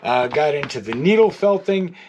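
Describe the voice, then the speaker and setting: A middle-aged American man giving a casual, rambling video update, halting often and repeating his words. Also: fairly high pitch, expressive, noisy background. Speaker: artist and toy maker. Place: art studio, indoors.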